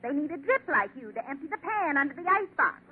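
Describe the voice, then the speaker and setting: A woman's voice: high-pitched, big pitch swings, fast. A woman acting as a tart, scornful wisecracker in 1940s radio comedy, trading cutting insults. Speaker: comedy supporting actress. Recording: noisy, muffled old radio recording.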